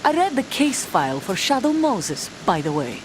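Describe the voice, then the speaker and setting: An actress playing a bitter, defiant young Russian mercenary commander in a video game, curt and threatening. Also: female voice, medium pitch, sing-song, moderate pace, noisy background, close to an everyday voice. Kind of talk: video game cutscene acting.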